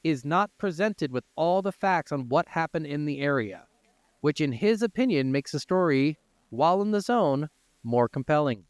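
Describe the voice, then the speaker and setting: A man's voice: a little high, expressive, moderate pace, smooth, no echo. A man hosting a casual gaming podcast, moving between informal, sweary chat and reading from notes. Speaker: podcast host.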